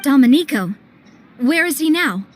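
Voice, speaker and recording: A woman's voice: sits high, lively intonation, normal speed. An actress playing a practical, frank female investigator in a video game, speaking in a businesslike way. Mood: brisk, earnest. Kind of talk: video game character acting.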